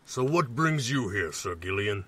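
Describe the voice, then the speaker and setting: A man playing a calm, courteous reptilian dragonborn warrior in a fantasy audio drama, with a rough voice and formal, measured speech. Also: lowish voice, no echo.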